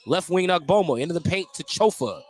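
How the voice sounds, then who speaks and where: A high-voiced man works as a radio play-by-play announcer for basketball. He follows the action in short, clipped phrases, naming each move as it happens. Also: animated, fast, background noise. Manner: clipped running descriptions of the action. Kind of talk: live basketball play-by-play commentary. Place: at a basketball game in a gym.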